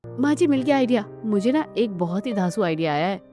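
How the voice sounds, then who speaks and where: A woman's voice: medium pitch, animated, fairly quick, mellow, soft background music, lightly acted. Woman voicing a scheming young cartoon wife. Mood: gleeful.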